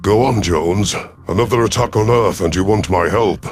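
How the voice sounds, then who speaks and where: A man voicing a proud, vengeful elderly alien reptilian commander in an audio drama, speaking in a formal, measured, sardonic way. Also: on the low side, a little mellow, faint music behind, lightly acted. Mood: sardonic, wary. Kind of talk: audio drama first-person narration and dialogue.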